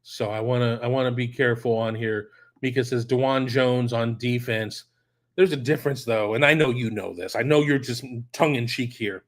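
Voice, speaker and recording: Hoarse, blunt man hosting sports talk. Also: medium pitch. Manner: blunt, emphatic, repeats phrases for emphasis. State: hoarse, losing his voice. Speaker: sports podcast host. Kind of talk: solo opinion talk answering listener questions.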